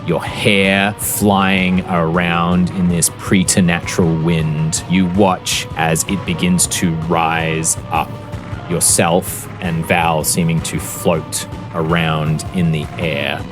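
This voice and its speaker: A man working as a game master, narrating a tabletop fantasy game in a measured, deliberate, descriptive storytelling manner. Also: low-pitched, level tone. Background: background music.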